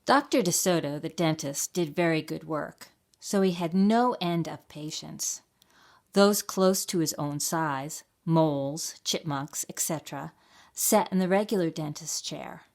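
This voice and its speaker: A woman's voice: low voice, a little slow. A woman reading a picture book for a library storytime, explaining and asking questions as if to young children.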